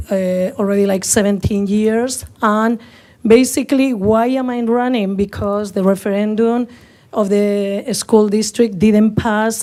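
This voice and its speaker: A woman in her sixties from northern Spain, with a Spanish accent and Spanish as her first language, giving a halting public comment at a podium microphone. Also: mid-range voice, dark tone. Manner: halting, searching for words, many fillers.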